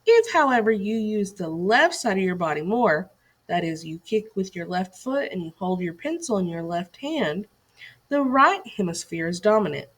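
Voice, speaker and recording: A woman's voice: mid-range voice, sing-song, moderate pace. A woman reading a scripted educational lesson aloud to children as a teacher, putting questions and directions to young listeners.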